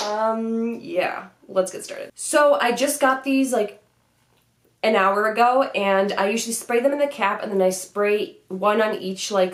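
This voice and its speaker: A woman's voice: medium pitch, echoey room. A young woman in her twenties with a stuffy cold, chatting upbeat in a casual product review vlog, filmed seated in her bedroom. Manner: chatty, upbeat, many "like" fillers. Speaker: beauty vlogger. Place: bedroom vanity room, indoors.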